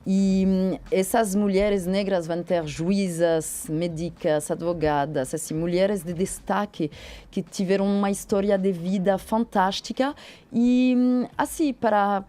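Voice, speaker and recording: A woman's voice: medium pitch, steady tone, measured pace, warm tone. A woman from France with a French accent, a guest on a radio morning show, speaking reflectively with frequent hesitations. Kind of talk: radio interview.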